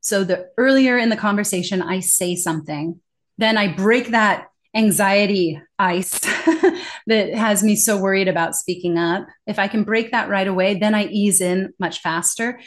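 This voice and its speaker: A woman of middle pitch speaks as an interview guest, explaining her ideas with animated emphasis. She laughs easily and often as she talks. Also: a little slow. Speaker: interview guest, corporate communication trainer. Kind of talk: conversational interview.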